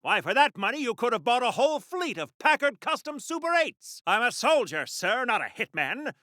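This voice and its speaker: An actor playing a pompous, prudish American superhero war veteran of the 1940s in a video game, with a theatrical old-fashioned delivery. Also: male voice, very high voice, sing-song, normal speed, sharp tone, dry recording, studio quality, heavily acted. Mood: scolding, proud. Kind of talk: video game acting in 1940s radio hero manner.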